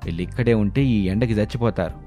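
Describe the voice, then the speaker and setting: A man's voice: on the low side, fast talker, mellow, soft background music, lightly acted. A man playing a firm, scolding older father-in-law in a cartoon family story. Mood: stern.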